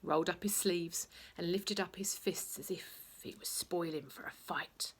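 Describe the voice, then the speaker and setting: A woman narrating a children's bedtime story in an expressive, theatrical way, playing up the sound words. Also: lowish voice, normal speed, breathy edge.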